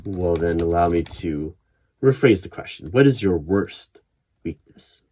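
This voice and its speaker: A man with a very low, husky voice performs an ASMR interview roleplay. He questions in a measured, probing manner, leaving deliberate pauses as he goes. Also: expressive, dark tone. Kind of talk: ASMR roleplay, one-sided job interview. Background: computer keyboard typing.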